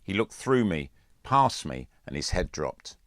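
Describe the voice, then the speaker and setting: Deep-voiced man narrating a story. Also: sing-song, unhurried, fairly bright tone, no echo, studio quality. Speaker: author reading own audiobook. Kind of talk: scripted first-person narration.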